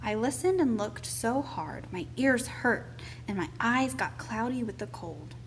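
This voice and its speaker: A woman reads a children's story aloud in a fairly high voice. She takes it very slowly and deliberately, shaping each phrase as storytelling. There is a faint hiss of noise in the recording. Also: animated, rough recording. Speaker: reader of a children's picture book aloud. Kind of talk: expressive storybook read-aloud.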